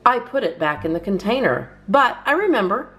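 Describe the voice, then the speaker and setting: A woman's voice: mid-range voice, very animated, brisk, fairly bright tone, voice pushed up. A woman voicing a cheerful, literal-minded housemaid in a children's storybook, with an earnest, innocent manner. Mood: eager, proud. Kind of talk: children's storybook character reading.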